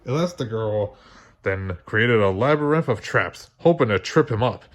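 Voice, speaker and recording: A man narrating a children's story aloud in an expressive read-along style. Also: lowish voice, moderate pace. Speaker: storybook reader.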